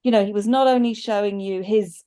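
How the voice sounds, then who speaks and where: A woman's voice: medium pitch, fairly even intonation, a little fast, mellow, clear, resonant tone. A middle-aged woman chatting enthusiastically as a panelist in a casual film review on a video call.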